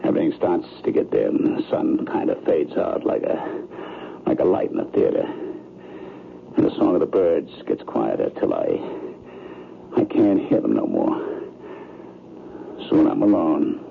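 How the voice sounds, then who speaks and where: A pushy american builder type in an old radio drama, voice swings up and down a lot, tinny sound. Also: male voice, very deep voice, wildly swooping pitch, unhurried, creaky, long pauses, a little hiss or hum, full character voice. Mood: somber, fading. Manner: plain-spoken, colloquial, insistent. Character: brash, uneasy American builder recounting a recurring nightmare.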